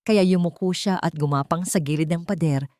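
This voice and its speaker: A woman reading a romantic drama story as narrator, in a dramatic, expressive scripted style. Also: fairly low pitch, a little fast, dry recording, clean studio sound.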